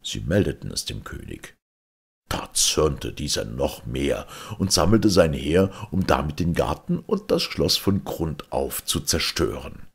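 A man's voice: rumbling low, gravelly, husky. A man narrating a fairy tale expressively, as an audiobook reader.

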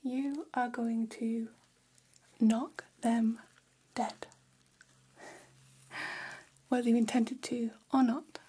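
A woman playing a gossipy, flattering vampire hair stylist in a whispered ASMR role-play, speaking in a soft, breathy, conspiratorial hush. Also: a little high, exaggerated voice. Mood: flattering, soothing. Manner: slow, hushed, conspiratorial, indulgent. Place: small decorated indoor room.

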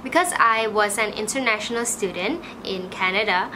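A woman's voice: mid-range voice, moderate pace, noisy background. A woman in her twenties with a Malaysian English accent, chatting casually to camera in a small room, full of "like" fillers. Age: 20s. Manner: chatty, frequent "like" fillers, animated. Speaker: vlogger.

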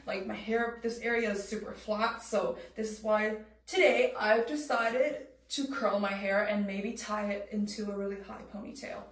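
A woman's voice: mid-range voice, fairly bright tone, far from the mic, a little hiss or hum. Young woman vlogging in an echoey bathroom, native Cantonese speaker. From Canada, moved there while growing up. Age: young adult. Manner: chatty, informal. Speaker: TV host and vlogger. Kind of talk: casual vlog chat. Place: small home washroom, indoors.

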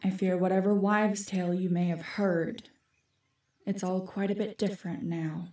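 A woman's voice: fairly low pitch, little pitch movement, normal speed, warm tone, natural voice. A woman playing an ancient, aloof forest guardian spirit who speaks formally and commandingly in a fantasy audio drama. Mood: bitter, weary. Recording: echoey, distant-sounding voice.

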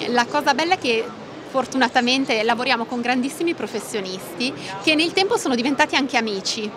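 A woman, explaining things enthusiastically in a conversational interview as a company executive. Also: fairly high pitch. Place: open trade-show stand. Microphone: handheld microphone held by interviewer. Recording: busy trade-fair crowd noise. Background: crowd bustle.

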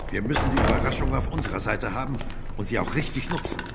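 A man playing a steady, fatherly older military commander, calm and gravelly, in an audio drama. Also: medium pitch, quick pace, close to an everyday voice. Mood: resolute. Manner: calm, authoritative. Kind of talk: German audio drama acting. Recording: echoing, noisy battle ambience.

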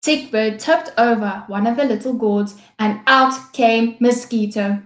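A young woman reading a picture book aloud with deliberate, careful phrasing for small children. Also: fairly high pitch. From South Africa. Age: young adult. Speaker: children's story reader, teacher. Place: small indoor classroom-style room. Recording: echoey room.

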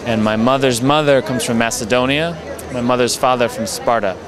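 Deadpan man amid a crowd. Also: medium pitch, brisk. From United States. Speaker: comedian. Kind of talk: red carpet interview. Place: outdoor red carpet. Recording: busy crowd outdoors.

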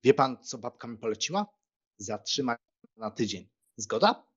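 A man acting a chatty, good-natured young country gentleman in an audiobook drama, with a casual, jovial manner. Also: medium pitch, very animated, normal speed, slightly rough. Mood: breezy, teasing. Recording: dull, lo-fi sound.

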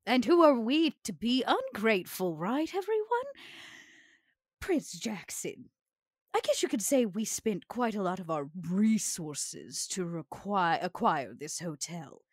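A woman's voice: mid-range voice, sing-song, measured pace, no room sound. Woman playing a poised, courtly vampire, close dry sound. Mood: wry, courtly. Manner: formal, careful, dryly polite. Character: poised, courtly female vampire, politely sardonic.

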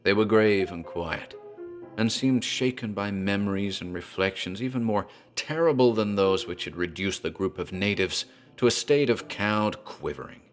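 A man narrates a horror tale with a very low, deep voice. His delivery is dark, deliberate and theatrical. Faint music plays under him.

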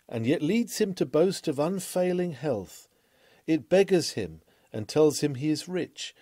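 A man reading a classic sermon from a script in a solemn, measured, oratorical manner with emphatic phrasing. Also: mid-range voice, dark tone, no echo, studio quality.

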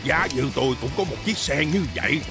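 A man voicing a dim-witted, naive villain sidekick in a children's cartoon, earnest and slow-witted. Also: a little high, expressive, music in the background, natural voice. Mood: puzzled.

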